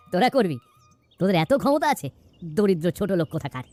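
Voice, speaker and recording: A woman's voice: on the low side, very animated, moderate pace, darkish tone, soft background music. A woman with a West Bengal accent voicing an arrogant, bullying rich man in a cartoon village, sneering and scornful. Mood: mocking, contemptuous.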